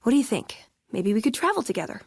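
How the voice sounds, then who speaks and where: A woman's voice: a little high, sing-song, fast talker, creaky, a little mellow, husky, dry recording, clean studio sound, heavily acted. An actress playing a friendly, wry young American woman in a video game, with casual, playful, sardonic conversational delivery. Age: young adult. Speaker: video game companion character. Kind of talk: scripted game dialogue, conversational.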